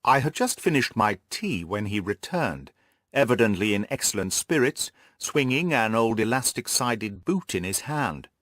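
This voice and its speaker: A man reading scripted literary narration for an audiobook in a measured storytelling manner. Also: lowish voice, big pitch swings, fairly bright tone, no room sound, studio quality.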